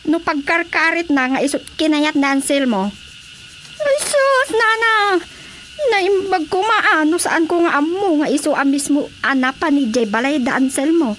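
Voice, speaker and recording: Radio drama role: feisty Ilocano-accented wronged woman, very high and bright, taunting, indignant. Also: expressive, moderate pace, a little hiss or hum. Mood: aggrieved. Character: Bilya, combative aggrieved woman quarrelling with a rival.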